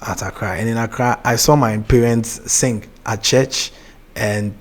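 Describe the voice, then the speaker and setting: A young Ghanaian man with a Ghanaian accent, a gospel artist chatting as an interview guest, soft-spoken, laughing easily and often restarting his sentences. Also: medium pitch, steady tone, unhurried. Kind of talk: casual interview chat.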